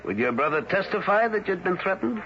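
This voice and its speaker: An actor in an old-time radio drama playing a skeptical, hard-nosed newspaper editor who talks in blunt, challenging bursts. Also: male voice, high voice, sing-song, fairly quick. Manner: blunt, challenging, clipped questions. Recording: muffled, noisy old radio recording.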